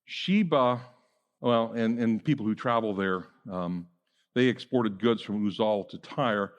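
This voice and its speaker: Low-voiced pastor in a reverberant hall. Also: male voice, unhurried, muffled recording. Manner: conversational, with frequent fillers and asides. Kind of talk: verse-by-verse sermon teaching.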